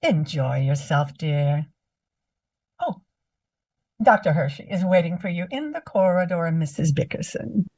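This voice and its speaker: A woman's voice: lowish voice, animated, measured pace, pauses often, darkish tone, dry recording, far from the mic. A woman playing a hospital nurse in an old-time radio comedy, recorded dry and a little off mic. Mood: polite, wry.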